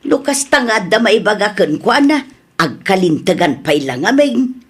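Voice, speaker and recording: A woman playing a spendthrift, sharp-tongued wife in an Ilocano comedy radio drama, with an Ilocano accent and a teasing, bickering way of talking. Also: medium pitch, very animated, a little slow, husky. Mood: casual, teasing.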